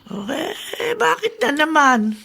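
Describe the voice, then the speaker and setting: Bright, animated chatty Filipina neighbour. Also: female voice, high-pitched, a little slow, vocal fry, exaggerated voice. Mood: concerned. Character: chatty, inquisitive older female neighbour and family friend. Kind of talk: Filipino radio drama acting.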